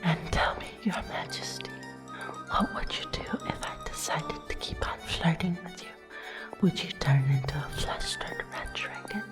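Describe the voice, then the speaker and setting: A woman playing a flirtatious, courtly vampire royal in an ASMR roleplay, teasing and breathy. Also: fairly low pitch, slow, over music. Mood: sultry, taunting.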